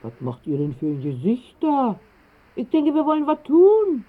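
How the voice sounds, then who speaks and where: An actress playing a spirited, bossy working-class woman with a Berlin accent, brisk and scolding, in a radio drama. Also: high voice, wildly swooping pitch, full character voice. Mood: bossy, urging. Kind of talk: 1960s German radio drama acting. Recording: muffled band-limited old radio recording.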